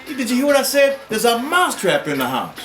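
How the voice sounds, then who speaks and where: A man voicing a frantic little male mouse who pleads and repeats his warning in a high, rising voice. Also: huge pitch swings, fairly quick, exaggerated voice. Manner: urgent, pleading, repeating himself. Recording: roomy sound with music behind.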